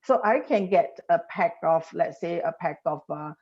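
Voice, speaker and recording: A woman's voice: on the low side, animated, normal speed. A woman, explaining as a podcast guest and piling up examples with frequent restarts. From Singapore. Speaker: industry guest. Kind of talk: podcast interview. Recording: dull lo-fi podcast audio.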